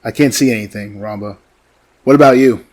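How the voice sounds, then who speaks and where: A man's voice: lowish voice, fairly quick, a little mellow, lightly acted. A man acting a stern but loyal mercenary squad leader in a sci-fi audio drama. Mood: rueful.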